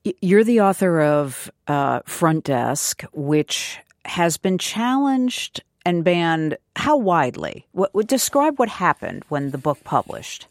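A woman's voice: fairly low pitch, very animated, slow pace, few pauses, no room sound, studio quality. A woman conducting a conversational interview as the interviewer.

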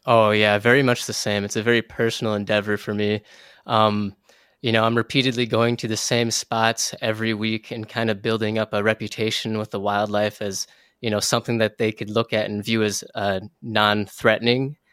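A man's voice: on the low side, level tone, moderate pace, fairly bright tone, smooth, dry recording, studio quality. A young American man chatting casually as an interview guest, with an informal, slangy, effusive way of talking. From southern Minnesota, United States. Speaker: interview guest, wildlife photographer.

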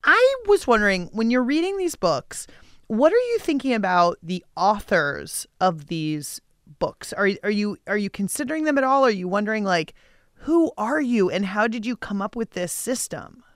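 A woman's voice: medium pitch, sing-song, normal speed, no echo, studio quality. A woman hosting a conversational podcast interview in a casual, reflective way. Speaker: interviewer and show host.

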